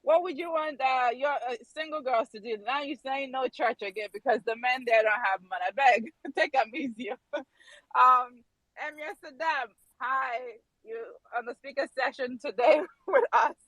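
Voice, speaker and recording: A woman's voice: high-pitched, normal speed, fairly bright tone, dry recording, rough recording. A Nigerian woman chatting playfully in an audio chat room, greeting people and offering blessings, mixing English and Igbo. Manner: playful, laughing, blessing-giving. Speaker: participant in an audio space. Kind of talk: casual chat, switching into Igbo.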